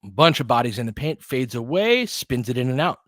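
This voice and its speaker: A man's voice: medium pitch, big pitch swings, brisk, dry recording. Casual man calling basketball, close dry sound. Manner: informal, candid, opinionated. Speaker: play-by-play host of a fan stream. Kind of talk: casual basketball play-by-play and commentary.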